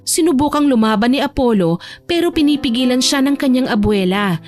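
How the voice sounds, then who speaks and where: A woman's voice: high-pitched, animated, fairly quick, a little mellow, faint music behind. A woman narrating a scripted romantic audio drama as a storyteller.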